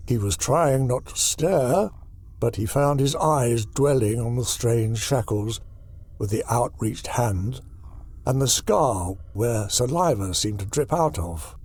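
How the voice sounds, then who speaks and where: A man reads scripted horror fiction as a dramatic narrator. His mid-range voice has heavy gravel in it. He takes his time, delivering each phrase deliberately. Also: mid-range voice, darkish tone. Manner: deliberate, storytelling. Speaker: audiobook narrator.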